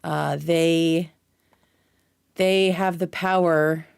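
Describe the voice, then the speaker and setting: A woman's voice: sits low, steady tone, extremely slow, creaky, clear, resonant tone. A woman chatting casually as a livestream host, with an informal, scattered manner and free swearing.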